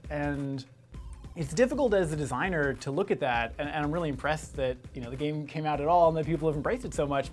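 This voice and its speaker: A man's voice: fairly high pitch, a little mellow. A man giving a casual, reflective interview as a game designer, tentatively thinking aloud.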